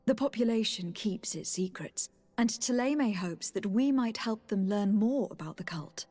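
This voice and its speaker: An actress playing a loyal young noble envoy in a video game, measured, formal and polite. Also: female voice, mid-range voice, animated, dark tone. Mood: composed, reporting. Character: composed, diplomatic young noblewoman, loyal envoy to her cousin. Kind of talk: video game cutscene acting.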